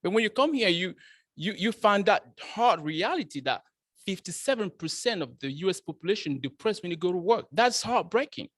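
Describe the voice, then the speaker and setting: A man from Cameroon giving his first prepared club speech, asking the audience questions and often restarting his words with lively emphasis. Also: high-pitched, normal speed, dry recording, studio quality.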